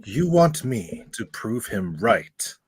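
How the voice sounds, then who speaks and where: A man's voice: lowish voice, big pitch swings, takes it slowly, husky, dry recording, exaggerated voice. A man playing a principled professor and mentor in a radio play, with a grave, firm manner. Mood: stern. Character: stern, principled male mentor professor of superheroes. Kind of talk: radio-play script reading.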